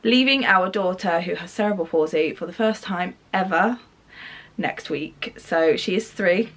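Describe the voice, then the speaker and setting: A woman of thirty chatting through a packing vlog with plenty of ums, her voice croaky and fading from a cough. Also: lowish voice, unhurried, a bit bright. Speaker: family vlogger. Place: her bedroom.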